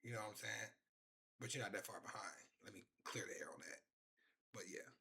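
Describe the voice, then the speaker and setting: A man's voice: fairly low pitch, expressive, fairly quick, gravelly, bright, forceful tone, husky. A man in his twenties chatting casually on a live stream, rambling and repeating himself as he talks to his chat from his room. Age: 20s.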